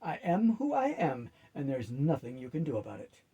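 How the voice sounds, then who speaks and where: Guy doing a grumpy demon in a stolen warlock body, argues back, bit husky, storybook reading. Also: mid-range voice, wildly swooping pitch, moderate pace, warm tone, muffled recording, full character voice. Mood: defiant. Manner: grumpy, argumentative. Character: grumbling defiant male demon possessing a warlock's body.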